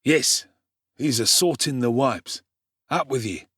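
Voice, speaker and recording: A man's voice: medium pitch, slow, fairly bright tone, husky, no room sound, clean studio sound, pitched above the performer's own voice. A man doing a wary, curt lookout crook. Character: suspicious gruff male crook keeping watch.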